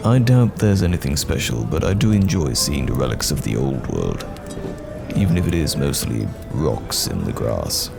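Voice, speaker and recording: A man acts out a roaming fantasy knight with an extremely low voice that moves expressively. He is courteous yet guarded and wry, choosing old-fashioned words with care. Music plays behind him in this audio roleplay. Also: big pitch swings, normal speed, creaky, exaggerated voice. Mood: polite, reflective.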